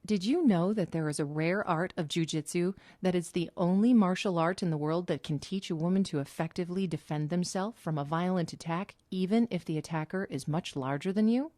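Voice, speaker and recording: Mellow, low-voiced woman narrating an advert. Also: brisk, few pauses, dry recording, studio quality. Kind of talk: scripted dramatic sales narration.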